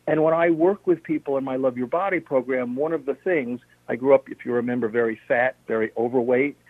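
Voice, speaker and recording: A man's voice: mid-range voice, brisk, no room sound. A man on a radio interview, steadily explaining health tips and listing points one by one. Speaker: health practitioner guest. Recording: narrow phone-line sound.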